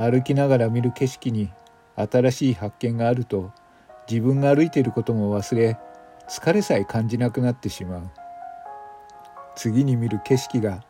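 A man's voice: mid-range voice, warm tone, lightly acted. A man playing a retired older man in a radio drama, reflective and muttering in a colloquial way. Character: retired old man, weary and grumbling, reflective.

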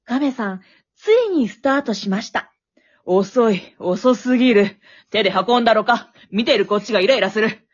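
A woman playing an excitable, wisecracking storyteller, narrating a comic radio drama like play-by-play with dramatic flair. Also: fairly high pitch, moderate pace. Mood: wry, exasperated. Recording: dull, lo-fi recording.

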